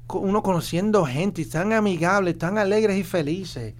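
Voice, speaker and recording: A man's voice: sits high, moderate pace. A man from Puerto Rico telling a group about a trip. He talks enthusiastically, repeats himself and searches for words. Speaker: guest sharing travel experience. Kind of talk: casual group conversation.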